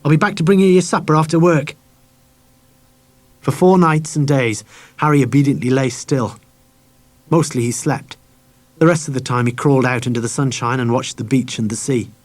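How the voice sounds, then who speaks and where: Casting: man with a mid-pitched voice to narrate a children's audiobook from script. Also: normal speed.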